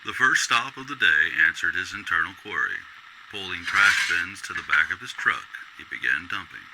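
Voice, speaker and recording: A man's voice: low-pitched, animated, moderate pace, noisy background. A man narrating a scripted radio drama as a storyteller.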